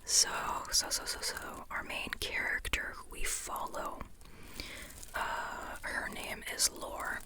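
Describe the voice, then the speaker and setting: An ASMR host whispering in an informal, rambling way, softly and unhurriedly, often repeating phrases. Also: slow. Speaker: ASMR host reviewing a book.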